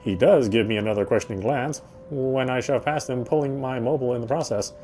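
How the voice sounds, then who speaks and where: A man's voice: mid-range voice, fairly quick, dark tone, faint music behind. A man reading a visual novel's narration aloud as he plays, now and then stumbling and rereading phrases.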